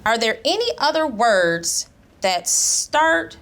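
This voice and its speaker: A woman's voice: a little high, big pitch swings, slow, fairly bright tone. A woman who teaches young children, speaking instructively as she explains lessons, reads and guides pupils. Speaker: elementary school teacher. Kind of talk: explaining a lesson, reading aloud, questioning pupils.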